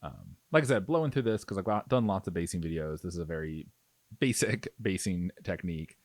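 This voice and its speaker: A man with a low voice hosts a casual tutorial. His intonation moves up and down a lot as he talks through each step. The sound is dry and close, with very little room. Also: lively intonation, brisk, a little mellow, no echo. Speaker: miniature painting tutorial host. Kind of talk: casual hands-on tutorial narration.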